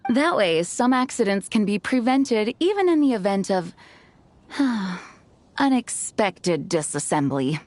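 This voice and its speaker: An actress playing a patient, composed knight master in a video game, speaking in a measured, encouraging, instructive way. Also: female voice, medium pitch, very animated, a little mellow. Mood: calm, encouraging.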